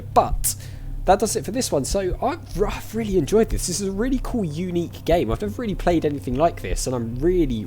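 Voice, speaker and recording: A man's voice: fairly high pitch, dark tone. A man giving casual let's-play commentary as a channel host, chatting and thinking aloud in questions as he plays. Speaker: video game player and channel host.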